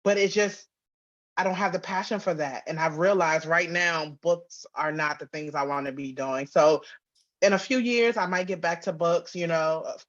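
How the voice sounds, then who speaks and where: A woman's voice: on the low side, normal speed. Woman chatting candidly, dull lo-fi sound. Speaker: guest, author and audio drama writer-producer. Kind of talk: casual, conversational interview answers.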